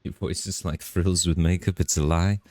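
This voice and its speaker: A man streaming a game live, talking in a relaxed, rambling way with lots of fillers, his voice bassy on a studio microphone at his home setup. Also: moderate pace, no room sound. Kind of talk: casual live-stream commentary. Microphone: studio microphone through an audio interface.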